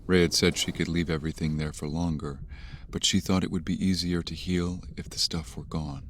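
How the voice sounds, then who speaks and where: An actor narrating a dark horror audio drama in a measured, ominous, grave manner. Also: male voice, low voice, fairly even intonation, a little hiss or hum, close to an everyday voice.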